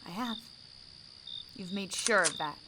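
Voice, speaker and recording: A woman's voice: medium pitch, unhurried, very noisy, lightly acted. A woman playing a protective, defiant ship's captain in an audio drama, commanding and dry. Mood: bitter, defiant. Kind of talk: scripted audio drama acting.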